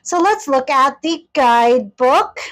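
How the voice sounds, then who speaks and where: A woman's voice: high-pitched, lively intonation, slow, a bit bright. A woman reviewing a tarot deck in a casual, chatty way, gushing with enthusiasm and talking straight to her viewers. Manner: chatty, addresses viewers directly.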